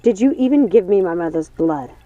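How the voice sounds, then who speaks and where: A woman's voice: on the low side, animated, a little fast, warm tone, no room sound, dull audio, soft background music, natural voice. A woman voicing a suspicious, angry woman in a dramatic audiobook, accusing and firm as she makes demands. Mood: accusing, bitter. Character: suspicious, angry woman, a witch's non-magical daughter.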